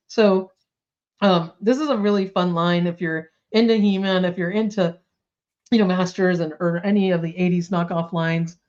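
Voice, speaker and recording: A woman's voice: on the low side, steady tone, moderate pace, a little mellow, highs cut off. A woman co-hosting a toy news podcast in casual chat, bubbly and full of fillers, coming down with a slight cold. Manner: chatty, bubbly, lots of likes and ums.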